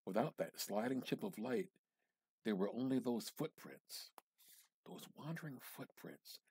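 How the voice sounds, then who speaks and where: A man giving a measured, theatrical reading of a literary short story, drawing out words and pausing for effect. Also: medium pitch, animated, warm tone, husky. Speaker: amateur reader practicing dramatic reading.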